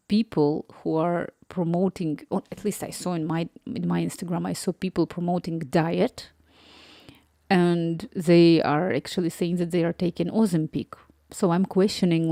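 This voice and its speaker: A woman's voice: lowish voice, warm tone, no echo, clean studio sound. A woman in her thirties chatting casually on a live stream, rambling and laughing often. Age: 30s.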